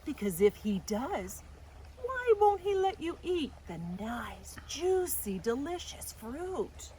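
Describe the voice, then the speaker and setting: A sly storybook serpent, acted out by a woman reading aloud, coaxes in a very slow, drawn-out way. The pitch lifts above an ordinary reading voice, and the words are wheedling and insinuating. Faint noise sits behind it. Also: sing-song, mellow, heavily acted. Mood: sly, mock-pitying. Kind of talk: children's storybook character reading. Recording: faint outdoor background noise.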